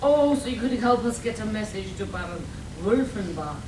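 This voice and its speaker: A woman playing an earnest, excitable villager in a live radio play, melodramatic and emphatic. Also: mid-range voice, normal speed, close to an everyday voice. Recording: echoey, noisy hall recorded from far off.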